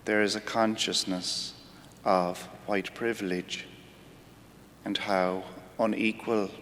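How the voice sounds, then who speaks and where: A man with an Irish accent gives a homily at a weekday Mass. He speaks slowly in a low, even voice, measured and reflective. His words ring out with a long church reverb over a faint background rumble. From Ireland. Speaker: priest giving a homily.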